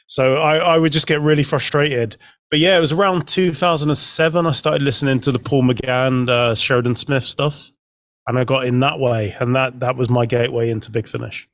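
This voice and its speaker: A man speaks as a podcast guest, casual and anecdotal. His pitch is middling and the close recording is very dry. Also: fairly even intonation, brisk, a bit bright, no room sound.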